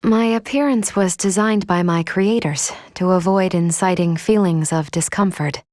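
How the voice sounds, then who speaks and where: A woman playing a polite, earnest female android in a video game, speaking in formal, precise, measured phrases. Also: lowish voice, a little mellow, no echo, studio quality, lightly acted. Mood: modest, surprised.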